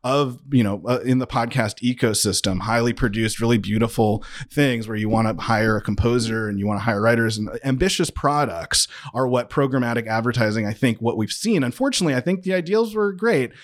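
A man with a medium-pitched voice talks as a guest in a conversational interview. He is earnest and emphatic, building an impassioned argument and often doubling back to repeat or rework a phrase. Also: fairly quick, few pauses. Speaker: interview guest, comedy and audio writer.

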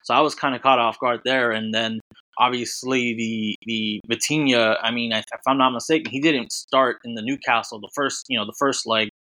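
A man, a football writer, talking casually as a podcast guest, halting and full of restarts. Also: medium pitch, steady tone, bright, forceful tone, no room sound, low-quality recording. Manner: halting, many restarts and fillers. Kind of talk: casual sports podcast chat.

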